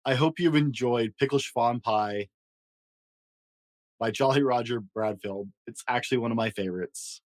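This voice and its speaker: Casting: male storyteller for children's picture books, expressive read-aloud style, mid-range voice, very dry recording. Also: mid-range voice, normal speed, no room sound. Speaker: storyteller and host.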